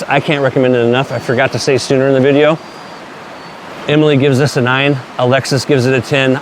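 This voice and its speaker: A man's voice: mid-range voice, background noise. A man in his late forties casually reviewing shaving products, enthusiastic and plainspoken, with some mild swearing. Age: 40s. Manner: enthusiastic, plainspoken, mildly profane.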